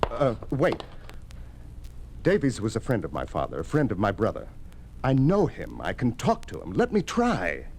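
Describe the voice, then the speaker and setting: An actor playing a reluctant, protesting farmer's son pushed into politics in a 1950s radio drama. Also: male voice, mid-range voice, wildly swooping pitch, moderate pace, darkish tone. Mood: pleading, earnest. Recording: noisy old 1950s radio recording.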